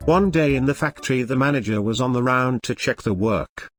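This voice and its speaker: A man's voice: medium pitch, brisk. A man narrating a scripted moral story for a cartoon. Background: faint music.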